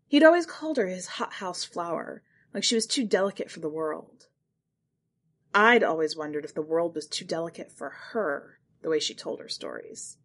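A woman narrating literary short fiction from a script, with expressive, dramatic phrasing. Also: lowish voice. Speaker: podcast host and fiction narrator.

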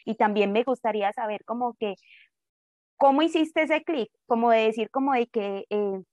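A woman's voice: a little high, normal speed, darkish tone, no room sound, lo-fi sound. Rambling woman asking questions. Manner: rambling, filler-heavy "como que". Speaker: interviewer. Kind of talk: casual interview questions.

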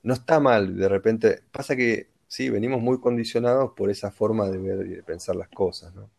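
A man with a Rioplatense Argentine accent thinks aloud in an unhurried, reflective way. His voice sits low with a gravelly, crackling edge, and he drifts between ideas as he chats. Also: darkish tone. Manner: reflective, musing, philosophical. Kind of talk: casual conversation.

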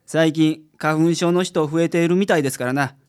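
A man's voice: a little high, steady tone, a little fast, clear, resonant tone, close to an everyday voice. A man playing an unflappable, easygoing private detective with a Kansai accent, explaining things confidently in a radio drama. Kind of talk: community radio drama acting.

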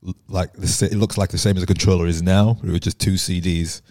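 A man in his early thirties chatting as a podcast guest, relaxed and chatty as he tells stories. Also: low-pitched, fast, no echo, clean studio sound. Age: 30s. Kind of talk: casual chat.

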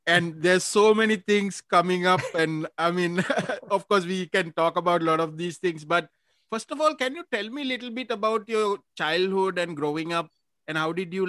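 A man's voice: fairly high pitch, a bit bright, dry recording, studio quality. A man hosting a podcast, asking his guest questions in a friendly, casual way with restarts and short laughs. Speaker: podcast host. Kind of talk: casual interview chat.

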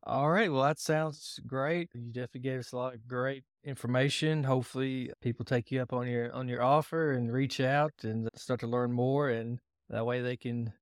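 Relaxed podcast host, plenty of fillers. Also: male voice, medium pitch, normal speed, hardly any pauses, dry recording, clean studio sound. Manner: relaxed, agreeable, filler-heavy talk. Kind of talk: casual podcast interview.

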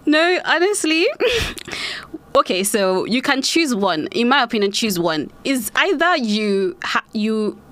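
A woman's voice: fairly high pitch, big pitch swings, a little slow, a bit bright, no echo. A young woman from Nigeria with a hybrid Nigerian and British-leaning accent, hosting a casual solo podcast, chatty and laughing often. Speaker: podcast host.

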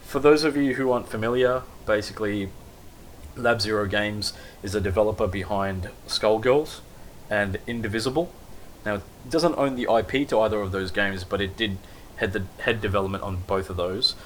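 A man's voice: fairly low pitch, moderate pace, slight background noise, poor audio. A man giving a casual, rambling recap of news, with lots of basically and kind of.

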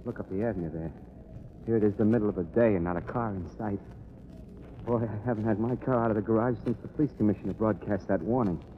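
A man's voice: fairly low pitch, quick pace, lightly acted. An actor playing an uneasy, weary ordinary city man, talking colloquially in a 1930s radio drama. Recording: tinny, noisy 1930s radio recording.

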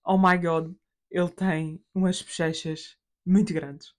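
A woman voicing her scheming, stubborn elderly German father with halting, foreign-sounding speech in a casual anecdote. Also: lowish voice, little pitch movement. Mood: amazed. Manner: foreign-accented, halting Portuguese.

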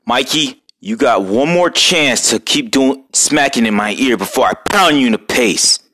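A man voicing a hot-headed young male turtle ninja with a gruff New York accent and a tough way of talking. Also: mid-range voice, expressive, unhurried, pushed and bright, no room sound, studio quality. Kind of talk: fan voice acting of a cartoon.

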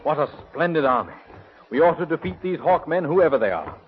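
A gallant young hero who speaks eagerly and courteously. His voice sits a bit high and moves widely. The old radio recording is muffled and lo-fi. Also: big pitch swings, brisk. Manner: gallant, eager theatrical delivery. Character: heroic young male prince-commander, gallant. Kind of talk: 1930s radio acting.

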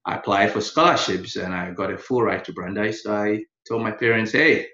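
A man from Ghana, a lawyer and guest on a podcast, telling his story in a relaxed way and laughing now and then. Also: fairly low pitch, fairly quick. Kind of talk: interview storytelling. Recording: echoey, dull lo-fi sound.

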